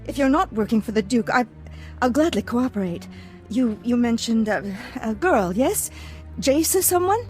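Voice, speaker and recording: A woman's voice: fairly high pitch, lively intonation, moderate pace, soft background music, natural voice. An actress playing a refined, proud but anxious noblewoman in a video game, speaking formally and earnestly. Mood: nervous, conciliatory. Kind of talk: video game character acting.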